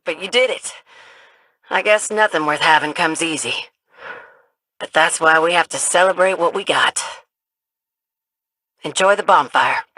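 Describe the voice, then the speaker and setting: A laid-back, folksy drawl from a game character. His tone is bright and easygoing, dropping word endings as he eggs the player on. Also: sits high, no room sound, poor audio. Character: folksy drawling man hosting a fireside event.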